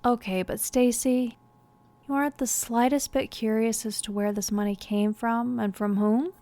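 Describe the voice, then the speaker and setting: A woman's voice: on the high side, fairly even intonation, normal speed, mellow, dry recording, lightly acted. An actress playing a skeptical, stubborn policewoman in an audio drama, blunt and questioning. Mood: suspicious. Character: guarded, sharp-tongued policewoman, skeptical and stubborn.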